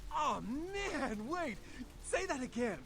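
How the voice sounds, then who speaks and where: An actor voicing an excitable, whiny young male vampire's servant in a video game, gushing and stammering in slangy speech. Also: extremely high pitch, takes it slowly, heavily acted. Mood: eager, amazed. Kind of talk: video game character dialogue. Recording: game music behind the voice.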